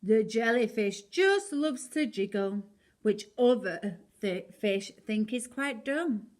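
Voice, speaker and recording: A woman reading a rhyming children's picture book aloud in an expressive storytelling style. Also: on the high side, slow pace.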